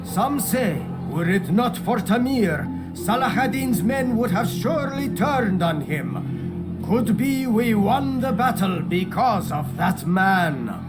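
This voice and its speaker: An actor playing a talkative, cowardly townsman from a medieval bazaar in a video game, with an excitable manner. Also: high-pitched, takes it slowly. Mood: gossipy. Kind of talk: scripted video game acting. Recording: loud music behind the voice.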